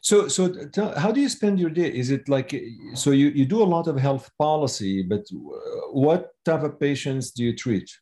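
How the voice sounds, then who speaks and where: A man's voice: on the high side, expressive, normal speed, hardly any pauses, mellow. A man hosting a podcast interview, chatty and effusive, with loose rambling phrasing. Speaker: podcast host.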